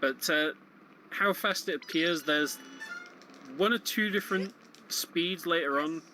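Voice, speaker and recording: A man's voice: a little high, measured pace, sharp tone, a little hiss or hum, rough recording. A man giving casual commentary on a video game run. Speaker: speedrun event commentator.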